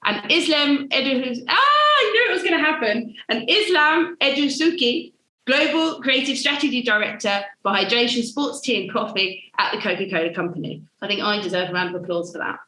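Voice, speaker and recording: A woman's voice: fairly high pitch, expressive, moderate pace, sharp tone, reverberant, poor audio. A woman hosting a panel webinar, introducing guests with upbeat enthusiasm. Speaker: webinar host.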